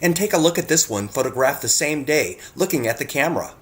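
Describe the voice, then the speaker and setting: A man's voice: fairly high pitch, animated, fast talker, fairly bright tone. Earnest male narrator addressing the viewer. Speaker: narrator presenting own photographs. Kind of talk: scripted narration.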